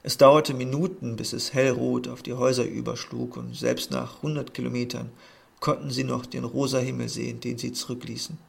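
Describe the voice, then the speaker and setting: A man reading a literary text aloud in a measured, earnest way. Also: medium pitch, flat delivery, vocal fry, husky. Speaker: narrator.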